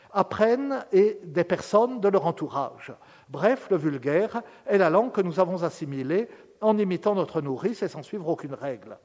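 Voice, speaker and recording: A man's voice: sits high, a little mellow. Hesitant male lecturer in a reverberant hall. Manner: measured, with frequent hesitations. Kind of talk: academic lecture.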